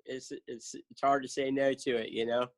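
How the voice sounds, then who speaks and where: A man's voice: mid-range voice, level tone, normal speed, a crowd in the background. A man in his fifties, a guest in a casual interview, telling his story in an earnest, chatty way. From southern United States. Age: 50s. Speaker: guest, charity founder.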